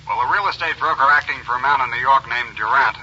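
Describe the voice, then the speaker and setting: An actor playing an eager FBI investigator reporting findings in a 1940s radio crime drama. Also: male voice, medium pitch, fast, sharp tone. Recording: muffled old radio recording, faint noise.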